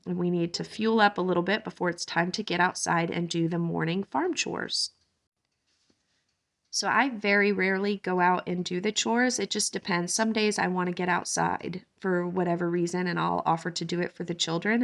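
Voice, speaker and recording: Low, gravelly-voiced woman vlogger. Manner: gentle, conversational, with frequent "um" fillers. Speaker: homesteading vlogger and mother. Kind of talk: relaxed voice-over narration of a daily-life vlog.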